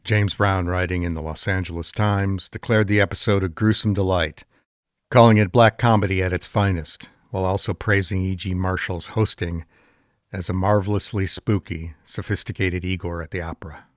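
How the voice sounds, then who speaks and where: A man's voice: low voice, fairly even intonation, normal speed, no echo, studio quality. A man presenting a program in a scripted documentary narration style.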